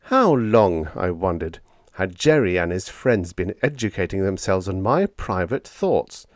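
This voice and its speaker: A man's voice: sits low, sing-song, unhurried, no room sound, studio quality. A man reading a story aloud as an audiobook narrator, in a dramatic scripted style.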